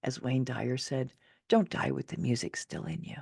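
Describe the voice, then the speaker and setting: Expressive low-voiced woman reading her own essay. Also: normal speed, vocal fry, breathy edge, no room sound, whispered, studio quality. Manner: emphatic, theatrical, motivational delivery. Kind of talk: expressive scripted narration of a personal essay.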